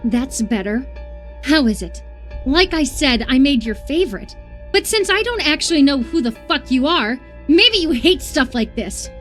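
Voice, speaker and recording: Horror game role: obsessive young woman captor, high-pitched, sweetly coaxing yet volatile, music underneath. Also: big pitch swings, a little slow, a bit bright, heavily acted. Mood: coaxing, irritated, then angry, bitter. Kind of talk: dramatic visual novel horror game acting.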